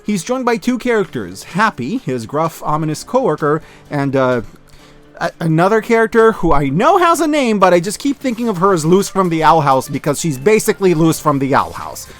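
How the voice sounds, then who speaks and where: A man giving scripted video-essay commentary as an online reviewer, enthusiastic and expressive with wry asides. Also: fairly high pitch, moderate pace, faint music behind. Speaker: online media reviewer and host.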